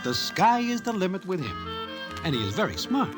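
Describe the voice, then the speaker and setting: An actor playing a wry small-time gambler from Broadway in an old-time radio drama, speaking stilted formal slang. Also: male voice, high-pitched, wildly swooping pitch, normal speed, music in the background. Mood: wry storytelling.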